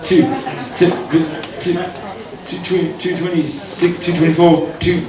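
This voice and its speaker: A man's voice: high voice, level tone, unhurried, a crowd in the background. A man performing spoken-word poetry live with wry asides between poems, recorded from a distance in an echoey venue with an audience. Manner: wry asides, counts down his time aloud. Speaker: poet performing. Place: open-mic venue.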